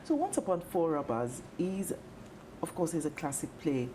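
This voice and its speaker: A Nigerian woman hosting a conversational television interview, speaking hesitantly with pauses in the middle of phrases. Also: low-pitched, sing-song, warm tone, slight background noise. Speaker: television talk show host.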